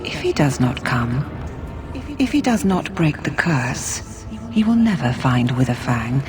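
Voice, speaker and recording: Mystical lady of the forest, fantasy game, solemn, compassionate, formal measured speech, music underneath. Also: a bass voice, very animated, full character voice. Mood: commanding. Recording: music behind the voice.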